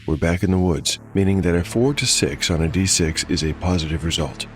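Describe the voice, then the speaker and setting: A man acting as game master and narrator of a dark fantasy audio drama. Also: sits low, fairly even intonation, brisk, faint music behind.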